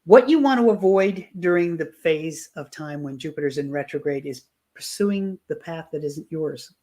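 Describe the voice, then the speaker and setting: A woman's voice: low voice, a little mellow. Woman astrologer losing her voice. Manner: explanatory, with frequent restarts and fillers. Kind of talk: live online astrology talk. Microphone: video call.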